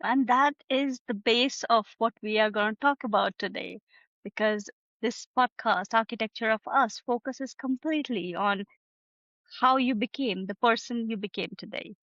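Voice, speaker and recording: A woman hosting a podcast, giving an earnest, measured introduction and then asking her guest questions. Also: a little high, a bit bright, no echo, highs cut off. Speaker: podcast host. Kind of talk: podcast interview.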